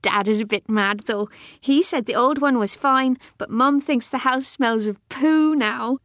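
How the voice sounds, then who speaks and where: A woman's voice: a little high, a little slow, a bit bright, no echo, studio quality. An actress reading letters as a frank little schoolgirl, talking in plain, childlike short sentences. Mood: cheeky. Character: outspoken little schoolgirl writing frank notes to friend.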